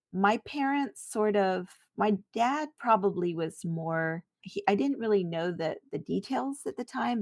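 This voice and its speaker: A woman's voice: lowish voice, no echo, studio quality. A middle-aged woman, a podcast guest, telling her childhood story in an earnest, reflective way. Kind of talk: personal interview recollection.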